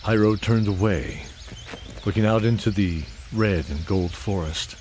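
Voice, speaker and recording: A man narrating a scripted fantasy audio drama in a deliberate, atmospheric storytelling style. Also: fairly low pitch, darkish tone, a little hiss or hum.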